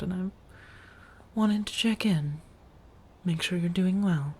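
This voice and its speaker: A woman acting as an affectionate female vampire roommate in an ASMR roleplay. Her voice is low and husky, with soft breath around the words. She speaks intimately to one listener, teasing gently and looking after them. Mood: tender, concerned. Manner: gently teasing, drawn-out phrasing, soft chuckles.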